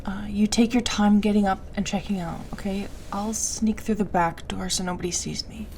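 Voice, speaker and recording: A woman playing a distressed, apologetic but stubborn young woman in an intimate audio roleplay, stammering and halting. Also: medium pitch, fairly even intonation, darkish tone, slight background noise, close to an everyday voice. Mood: hurried, caring. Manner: halting, stammering, breathy asides to a partner.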